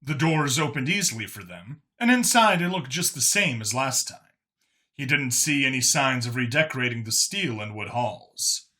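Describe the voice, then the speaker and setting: A male audiobook narrator reads scripted fiction in a mid-range voice. The tone is bright and forward, suited to prose storytelling. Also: mid-range voice, expressive, moderate pace.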